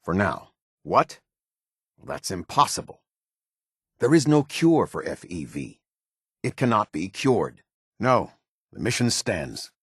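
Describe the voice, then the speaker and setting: An American actor playing a stern, demanding middle-aged military officer in a video game, with clipped, formal authority and a husky voice. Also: male voice, on the low side, very animated, takes it slowly, long pauses, dry recording, studio quality, heavily acted. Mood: disbelieving, cold. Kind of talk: scripted game dialogue, military commander.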